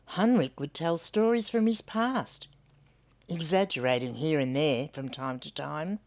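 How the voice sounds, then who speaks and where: A woman's voice: lowish voice, darkish tone. An older woman with an Australian accent reading a storybook aloud to children, expressively and with questions for young listeners.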